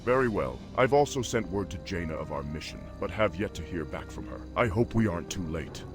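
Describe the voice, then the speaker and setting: An actor playing a noble, resolute orc warchief in a video game, formal and commanding. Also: male voice, deep voice, animated, brisk. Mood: grave. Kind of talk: video game character acting. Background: loud music.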